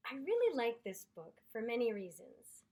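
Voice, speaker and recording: A woman reading aloud to children in an expressive storytelling style, with deliberate, dramatic emphasis. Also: a little high. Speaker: storybook reader.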